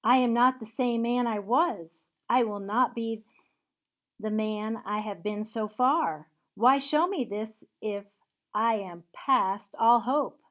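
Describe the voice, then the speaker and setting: A woman reading aloud and voicing old Scrooge, a reformed miser who exclaims loudly and pleads. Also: medium pitch, a little slow, close to an everyday voice. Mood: pleading.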